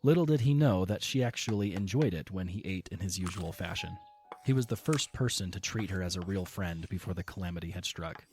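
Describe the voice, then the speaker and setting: A man reading scripted story narration as the narrator of an audio drama, in a measured storytelling manner over music. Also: deep voice, expressive, darkish tone.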